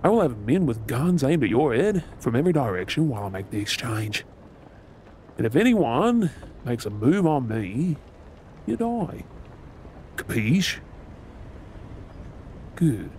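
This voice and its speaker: A man playing a gruff, menacing, sardonic pirate captain in a dramatic audio role play. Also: medium pitch, sing-song, unhurried, pauses often, darkish tone. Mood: sardonic, threatening. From Australia. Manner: commanding, swaggering, sardonic. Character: gruff, menacing male pirate captain, secretly soft-hearted. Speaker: ASMR role-play performer. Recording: sound effects and ambient noise behind. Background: ship ambience sound effects.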